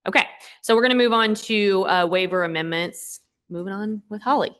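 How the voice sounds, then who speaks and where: A woman with an American accent chairing a meeting, briskly keeping the room on schedule. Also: mid-range voice, a bit bright.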